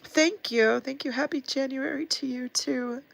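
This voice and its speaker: Sad, hoarse woman host on a livestream, gravelly and sniffly, earnest and rambling. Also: high-pitched, measured pace, rough recording. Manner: earnest, rambling, with many fillers and restarts. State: hoarse, losing her voice. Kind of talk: casual livestream talk to viewers.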